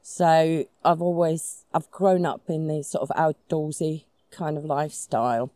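A middle-aged Finnish-accented woman with a low, mellow voice talks in an easy, searching way, stopping on ums and restarting her sentences. The sound is close and dry, though she is out in a forest. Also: fairly even intonation, unhurried, no echo. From Finland. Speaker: guest, society chairman. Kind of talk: conversational interview. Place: outdoors in a forest.

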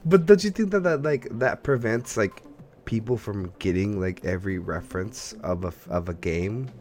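A man hosting a podcast in casual chat, telling things in an animated, rambling way and acting out imagined reactions. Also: fairly low pitch, normal speed. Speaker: podcast host. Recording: background music under the voice. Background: music.

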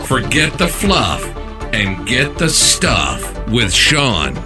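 A man announcing a podcast intro in a smooth, promotional delivery. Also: mid-range voice, wildly swooping pitch, very slow, music in the background. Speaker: show announcer. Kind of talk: scripted intro announcement over music.

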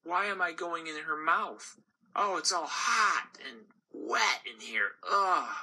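Guy putting on a high whiny little gumball, bright and husky, fretting in a storybook. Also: lively intonation, takes it slowly, exaggerated voice, poor audio. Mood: uneasy. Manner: high, whining complaints. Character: naive young male gumball, whiny and fretful.

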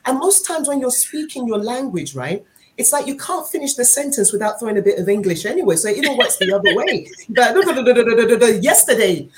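A Nigerian woman whose first language is Yoruba, chatting on an online panel and telling stories in an animated, laughing way. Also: fairly high pitch, quick pace. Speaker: panel guest. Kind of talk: casual group chat online.

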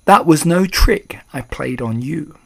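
A man voicing a calm, frosty old Norwegian grandmother in a storybook, matter-of-fact and unflustered. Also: on the high side, measured pace, vocal fry, breathy edge, voice pushed up, exaggerated voice.

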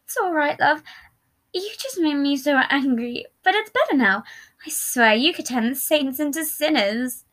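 A woman's voice: high voice, brisk, a bit bright, voice pushed up. A woman voicing a jealous, controlling and volatile boyfriend in a dramatic audio story, speaking in an emotional, accusatory way. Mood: cold, coaxing.

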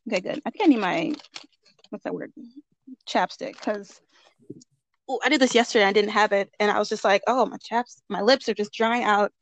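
A woman host who first reads a guest introduction and then chats casually over a remote call, bubbly and quick to laugh, a little congested. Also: medium pitch, dry recording, dull audio. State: slightly congested. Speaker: podcast host.

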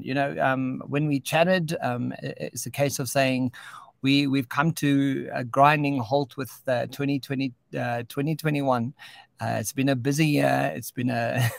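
A man's voice: mid-range voice, steady tone, vocal fry, no echo. A man hosting a radio interview, stopping often with filler sounds as he talks. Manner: halting, many filler sounds. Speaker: radio show host.

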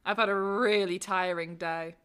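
A woman reading scripted everyday dialogue in an English lesson, calm and matter-of-fact. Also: mid-range voice, moderate pace, clean tone.

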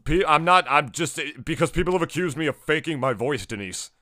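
Podcast co-host: deep-voiced man, bright and forceful tone, profane, ranty, crude comic banter. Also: lively intonation, fairly quick. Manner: profane, ranting, crude jokes. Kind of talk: casual comedic banter.

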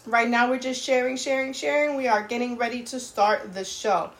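A woman's voice: fairly high pitch, fairly even intonation, normal speed. A woman co-hosting a podcast in casual chat, sassy and teasing. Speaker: podcast co-host.

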